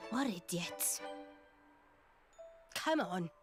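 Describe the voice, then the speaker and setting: A woman's voice: lowish voice, lively intonation, extremely slow, faint music behind. An actress playing a feisty, sarcastic young cat-eared girl in a video game cutscene, blunt and mocking. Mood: exasperated.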